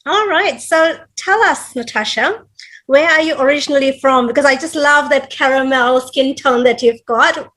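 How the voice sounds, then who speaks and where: A middle-aged woman hosts a friendly interview with gushing enthusiasm and frequent laughter. Her voice is notably high. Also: moderate pace. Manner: warm, effusive, laughs often. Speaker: host. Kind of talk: casual interview chat.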